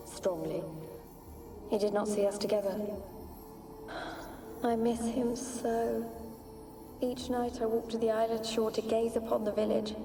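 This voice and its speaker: Ghostly young noblewoman, game character, sorrowful, evasive, archaic speech, distant, reverberant. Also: on the high side. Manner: archaic, plaintive phrasing. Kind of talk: dramatic video game acting. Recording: echoing, distant ghostly voice over music.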